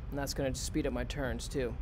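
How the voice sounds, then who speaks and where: A man's voice: medium pitch, level tone, brisk. Guy teacher walking the class through robot code, plain step by step, regular mid voice, bit of hiss behind. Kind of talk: screen-recorded coding lesson.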